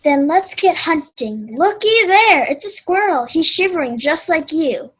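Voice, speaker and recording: A woman's voice: extremely high pitch, expressive, measured pace, a bit bright, full character voice. A woman playing an eager, folksy hunting sidekick in a children's readers theater piece, declaiming the lines.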